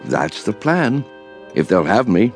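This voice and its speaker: Hopeful old rest-home resident, courtly and mild, animated pitch, radio drama. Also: male voice, takes it slowly, soft background music, heavily acted. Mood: cheerful, courteous. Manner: courtly, mild, well-spoken. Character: gentle, hopeful elderly man in a rest home.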